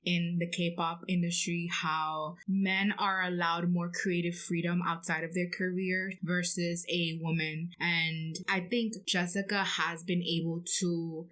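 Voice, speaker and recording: Female podcast co-host, lowish register, conversational, explanatory and opinionated. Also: level tone, measured pace, few pauses, fairly bright tone. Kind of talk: casual K-pop podcast chat.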